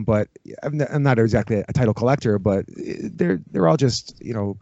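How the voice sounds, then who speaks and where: Low-pitched middle-aged man, echoey muffled recording. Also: mellow. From Canada, Toronto area. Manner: informal, peppers talk with "you know". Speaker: podcast guest, ritual drummer. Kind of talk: casual, rambling conversational interview. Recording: muffled, roomy, echoey sound.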